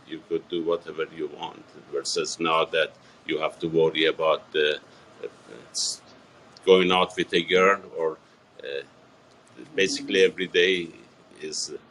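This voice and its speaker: A man, answering a TV reporter's questions in a halting, earnest way with many fillers and restarts. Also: a bass voice, fairly bright tone, slight background noise, rough recording. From Iran. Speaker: interviewee. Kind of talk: TV news interview.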